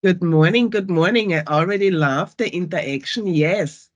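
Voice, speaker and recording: A woman's voice: lowish voice, moderate pace. A woman with an Austrian German accent moderating an online event on a video call, upbeat and welcoming as she greets the audience.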